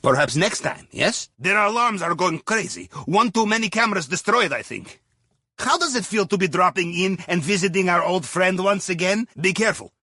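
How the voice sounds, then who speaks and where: A jovial Russian captain guides a crew through a video game heist. His Russian-accented voice sits high for a man and swings widely in pitch, with dropped articles and playful questioning tags. The sound is dry and close. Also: animated, normal speed, sharp tone, no room sound, exaggerated voice. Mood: jovial, teasing. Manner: playful, theatrical, drops articles, tags sentences with questioning "yes?" or "huh?". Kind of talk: video game mission dialogue over comms.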